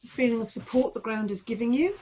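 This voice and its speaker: A woman yoga teacher guiding a relaxation and body check-in, giving slow, gently coaxing instructions with pauses between phrases. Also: fairly high pitch, animated, slightly rough.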